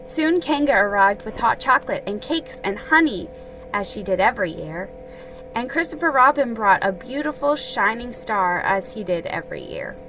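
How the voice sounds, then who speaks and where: A woman storyteller giving an expressive, deliberate dramatic reading of a children's story. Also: medium pitch, faint music behind, poor audio.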